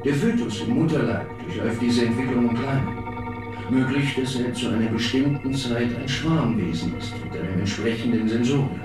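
Actor as earnest male lecturer, scientist, formal, medium pitch, strong echo, music behind. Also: normal speed, close to an everyday voice. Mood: measured, earnest. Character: earnest male scientist expounding a speculative theory. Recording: very echoey hall sound.

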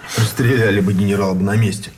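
An actor playing a gruff, sardonic captured military officer in a radio drama. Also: male voice, fairly low pitch, steady tone, fast, recorded from a distance, slight background noise, natural voice. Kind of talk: Russian radio drama acting. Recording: music clearly under voice.

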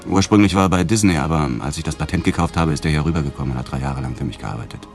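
An actor in a radio drama playing an affable, evasive business tycoon with a casual, teasing charm. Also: male voice, a bass voice, very fast, vocal fry, soft background music. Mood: casual, persuasive.

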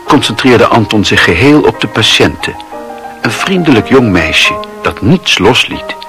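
A man narrating a literary radio drama in a measured storytelling manner. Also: fairly low pitch, pushed and bright. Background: music.

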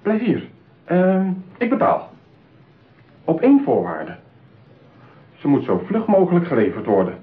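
Animated, impulsive male customer. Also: fairly high pitch, a little slow, pauses often. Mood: urgent. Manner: insistent, excitable, swinging from boast to doubt. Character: impulsive, insecure, self-satisfied male customer seeking a bride. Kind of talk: radio play acting. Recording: muffled, narrow-band radio play recording.

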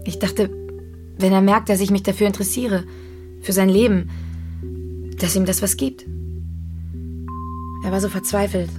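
A woman's voice: medium pitch, level tone, normal speed, long pauses, over music, close to an everyday voice. An actress playing a caring, saddened young woman in a naturalistic radio drama, subdued and reflective. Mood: bitter, sorrowful.

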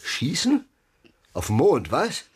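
An actor playing an aging, despairing writer in a radio drama, theatrical, self-pitying and brooding. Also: male voice, a little high, huge pitch swings, takes it slowly, breathy edge, full character voice. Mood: grumbling, excitable. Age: older. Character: aging despairing male writer, weary, childish, morbidly theatrical. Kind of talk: German radio drama acting.

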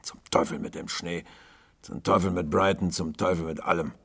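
A man plays a fallen gentleman on the road in an audiobook story. The voice is very low and creaky, growling, weary and grim, with a brooding calm. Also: normal speed, full character voice. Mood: grim, cursing.

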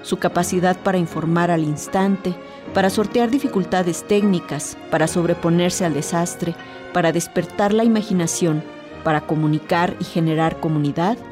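A woman's voice: on the low side, normal speed. A woman narrating a scripted personal essay as a radio presenter. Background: music.

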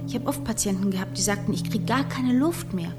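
An actress playing a chatty, pragmatic female nurse in a radio play, talking candidly and a little haltingly. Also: medium pitch, music in the background, close to an everyday voice. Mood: reflective.

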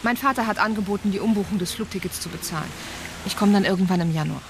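A woman's voice: mid-range voice, fast talker, background noise, close to an everyday voice. An actress playing a girlfriend hesitantly breaking away from her partner in a radio drama. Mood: hesitant, resolved.

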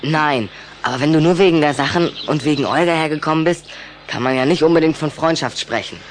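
A man's voice: on the high side, a little fast, slight background noise, close to an everyday voice. A male actor playing an earnest schoolboy in a children's audio drama, precise and reproachful in his way of talking. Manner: matter-of-fact, reproachful, precise. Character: earnest schoolboy, the vampire's indignant human friend.

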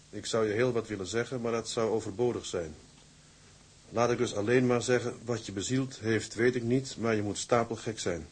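A man's voice: lowish voice, husky. An actor playing an affectionate young seaman husband in a radio drama, talking. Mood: stern reading. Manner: colloquial, affectionate. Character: Jan, warm male merchant seaman, loving young husband.

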